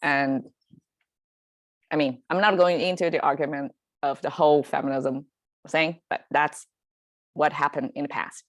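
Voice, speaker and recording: A woman's voice: low-pitched, steady tone, unhurried, long pauses, no echo. A woman whose first language is Chinese, hosting a casual online lesson on Chinese words in a chatty, conversational way. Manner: chatty and conversational, with fillers and small laughs. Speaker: language teacher and host. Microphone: video call setup.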